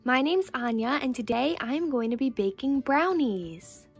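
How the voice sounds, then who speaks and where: A woman's voice: high voice, animated, measured pace, vocal fry, slightly rough, full character voice. A woman voicing a cheerful doll girl in a scripted toy play video, sweet, enthusiastic and instructional. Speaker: toy channel host.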